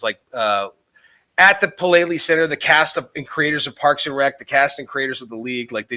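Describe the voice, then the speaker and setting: A man's voice: medium pitch, pushed and bright. A man co-hosting a podcast, intoxicated, rambling casually with lots of swearing. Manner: profane, meandering, enthusiastic. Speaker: podcast co-host.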